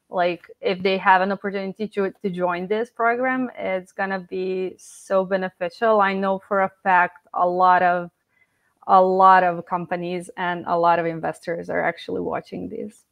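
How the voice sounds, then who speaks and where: A woman's voice: mid-range voice, muffled recording. Self-critical Serbian woman founder. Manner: self-critical, with fillers and restarts. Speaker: startup founder. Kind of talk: reviewing her own demo.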